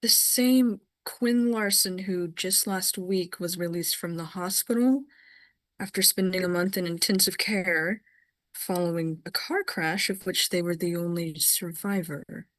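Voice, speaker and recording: A woman's voice: lowish voice, measured pace, a bit bright, no room sound. A woman playing a bewildered, concerned therapist at a short film table read, hesitant and questioning.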